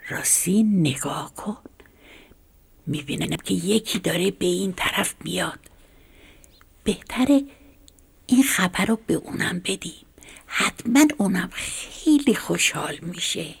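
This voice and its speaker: A woman voicing a calm, clever plump rooster in a children's storybook tale, speaking in an unhurried, cool way. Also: lowish voice, gravelly, husky, voice pushed down, full character voice.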